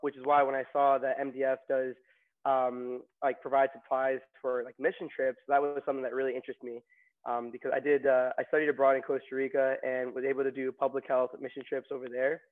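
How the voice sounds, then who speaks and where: A man's voice: medium pitch, level tone, fast. A young man from New York, a new nurse, telling stories in a chatty interview with many fillers and restarts. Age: young adult. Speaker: nursing graduate guest. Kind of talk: casual interview storytelling. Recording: tinny phone-like line.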